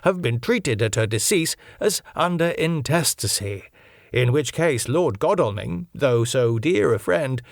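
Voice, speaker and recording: A man's voice: mid-range voice, expressive, unhurried, gravelly, no echo, clean studio sound, voice pushed up. A man voicing a genial, self-satisfied solicitor in an audiobook, with a formal, wordy, officious manner. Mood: fussy, officious.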